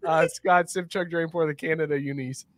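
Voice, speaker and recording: A man's voice: fairly high pitch, fairly even intonation, normal speed, dry recording, studio quality. A man hosting a casual sports banter podcast, talking with enthusiasm and plenty of slang and swearing. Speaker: podcast host.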